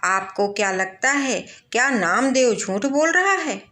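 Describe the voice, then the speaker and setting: A woman's voice: on the high side, animated, a little slow, sharp tone, reverberant. A woman voicing a deliberative king in a storybook tale, probing and authoritative. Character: questioning, deliberative male king. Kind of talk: storybook character voice.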